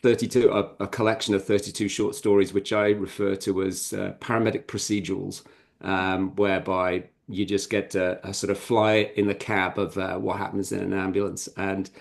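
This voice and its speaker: Male podcast co-host and author, lower register, conversational and meandering, candid with a dry joking edge. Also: flat delivery, normal speed, gravelly. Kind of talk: casual interview talk.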